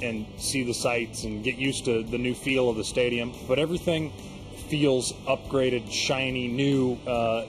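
A man who manages the construction, giving an enthusiastic interview soundbite with some restarts. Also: medium pitch. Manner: enthusiastic, with filler-laden restarts. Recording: interview clip with music underneath.

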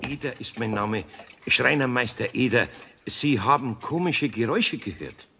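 A man's voice: mid-range voice, animated, moderate pace, vocal fry, pushed and bright, a little hiss or hum. A man playing a kindly, anxious older master carpenter with a Bavarian accent and folksy manner in a children's radio play. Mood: calm, probing. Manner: hesitant, folksy.

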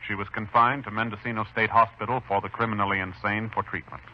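Low-pitched formal 1950s radio announcer man. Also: level tone, fast talker, very noisy. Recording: muffled old radio recording. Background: music.

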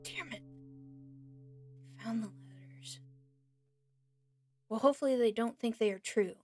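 A woman playing a frightened but defiant young female captive in an audio roleplay, pleading and indignant in a halting way. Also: fairly high pitch, a little mellow, slightly rough, faint music behind. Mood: worried.

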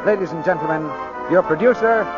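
A man's voice: sits high, fairly quick. Male announcer, raised emphatic voice, vintage lo-fi sound over music. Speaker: radio announcer. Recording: dull old radio broadcast.

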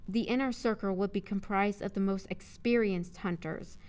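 A woman's voice: medium pitch, fairly even intonation, normal speed, a little mellow, clear, resonant tone. Woman narrator recording takes. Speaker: voice actor, narrator. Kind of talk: scripted audio drama narration with casual studio chat between takes.

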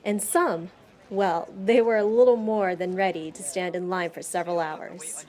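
A young woman student reporter reading a scripted news voice-over clearly and evenly. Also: on the low side, fairly even intonation, normal speed, background noise. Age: young adult.

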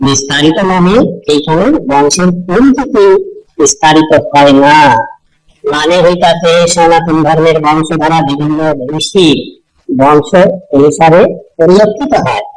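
A man playing an instructive village holy man in a cartoon, explaining things deliberately in a Bangladeshi Bengali dialect. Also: a little high, darkish tone, lightly acted, low-quality recording. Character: visiting village holy man, elder Matua preacher, patient teacher.

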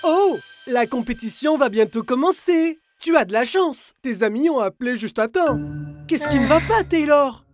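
A man's voice: extremely high pitch, animated, moderate pace. A man voicing a theatrical, fussy male hair stylist in a French cartoon. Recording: loud music behind the voice.

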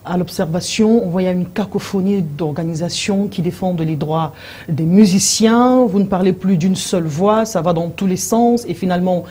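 A woman's voice: on the low side, normal speed, warm tone, slightly rough. A woman, putting formal questions as a television interviewer. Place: indoor TV studio.

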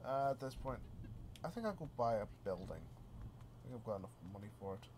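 A man's voice: mid-range voice, fairly quick, slight background noise. Stuffy man narrating his gameplay. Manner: relaxed, easily amused, mild swearing. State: slightly stuffy with a cold. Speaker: gaming channel host. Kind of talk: casual let's play commentary.

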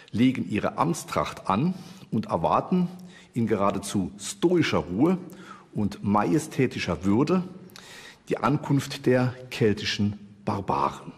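A man's voice: on the low side, husky. A man giving explanatory interview commentary as a history expert. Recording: long reverberant hall echo.

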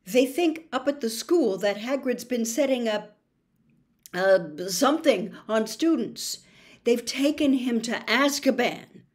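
A woman's voice: on the high side, lively intonation, measured pace, a little mellow, pitched above the performer's own voice. A woman voicing a frightened, earnest young boy wizard in a storybook, speaking with pleading urgency. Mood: anxious. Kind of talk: dramatized storybook reading.

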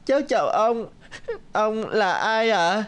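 A woman's voice: mid-range voice, slow, smooth, natural voice. Plaintive little orphan boy voiced by a woman. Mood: sad. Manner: childlike, plaintive. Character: small, earnest, anxious orphan buffalo boy.

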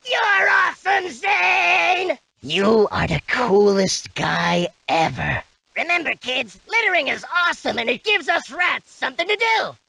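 An actor voicing a scrappy, loyal, cocky cartoon rat with a raspy voice, in exaggerated video game lines. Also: sits high, sing-song, unhurried, bright, forceful tone, no echo, full character voice. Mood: grateful, excited.

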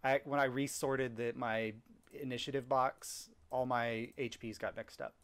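A man's voice: medium pitch, fairly even intonation, unhurried, clean tone. A man in his thirties runs a tabletop game from a headset over a video call. He rambles through the scene, stacking fillers and restarting his sentences as he narrates. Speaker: dungeon master. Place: small home room.

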